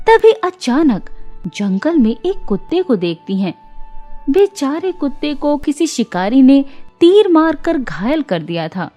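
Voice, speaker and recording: Female bedtime-story narrator, high and very animated, expressive and deliberate, music underneath. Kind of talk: scripted children's story narration.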